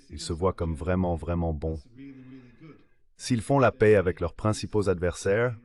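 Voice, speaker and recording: A man with a low-pitched voice reads a translated political discussion as a voice-over. His delivery is measured and sounds scripted. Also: darkish tone.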